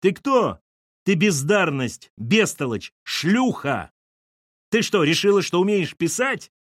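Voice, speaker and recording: A man's voice: fairly high pitch, fairly quick, dry recording, clean studio sound, voice pushed up, lightly acted. A man doing a sneering, spiteful young colleague. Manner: sneering, vicious.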